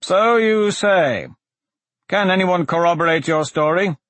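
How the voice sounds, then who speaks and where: A man voicing an older, stern and sardonic magistrate with measured, formal speech in a fantasy audiobook. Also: high-pitched, a bit bright, no echo, voice pushed up. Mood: dry, probing. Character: older grey-browed male magistrate, dry, stern, sardonic. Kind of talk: fantasy audiobook character dialogue.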